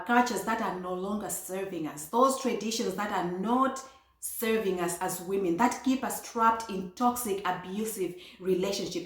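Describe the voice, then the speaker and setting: A woman's voice: medium pitch, fairly bright tone. A woman from Malawi, hosting a talk show in an earnest way and laughing between phrases. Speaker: host and empowerment coach. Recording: echoey room.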